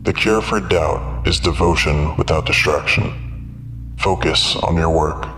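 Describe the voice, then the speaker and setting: A man playing a stern, controlling elder of a cult family in a scripted monologue, speaking formally in measured commands. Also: extremely low pitch, over music. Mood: stern, controlled.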